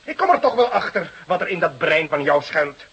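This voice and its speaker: An actor playing an eager, cocky younger police detective in a radio drama, with an excitable, needling manner. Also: male voice, a little high, fairly quick, husky, heavily acted. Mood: menacing.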